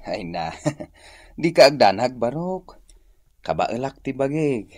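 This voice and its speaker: Weary, kindly Ilocano uncle. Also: male voice, medium pitch, very animated, takes it slowly, a little mellow, dry recording. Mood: weary, wistful. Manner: sighing, weary. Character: weary, kindly, self-pitying village uncle. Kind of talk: Ilocano radio drama acting.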